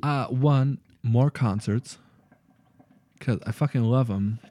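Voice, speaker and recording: A man's voice: medium pitch, slow, mellow, no room sound. A young man co-hosting a podcast, chatting loosely about his new year goals, swearing freely and laughing often. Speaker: podcast co-host. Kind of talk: casual chat.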